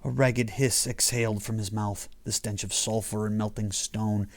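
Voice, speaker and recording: A man's voice: fairly low pitch, steady tone, normal speed. A man reading his own horror short story as scripted audiobook narration.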